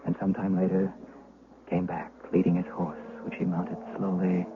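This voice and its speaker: A fearful eyewitness acted by a man tells his tale for a 1970s radio play. The voice is lowish, deliberate and literary, full of suspense. The old recording is dull and clearly noisy. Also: completely flat delivery, lightly acted. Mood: uneasy, watchful. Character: fearful witness recounting his dark tale. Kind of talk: dramatic first-person radio narration, 1970s.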